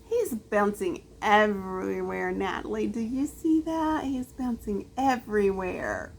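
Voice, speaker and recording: Female storyteller for a young child's picture book, highly animated pitch, slow and drawn-out. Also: vocal fry, a little hiss or hum. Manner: drawn-out, expressive child-directed delivery. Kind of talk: reading a picture book aloud to a small child, with asides.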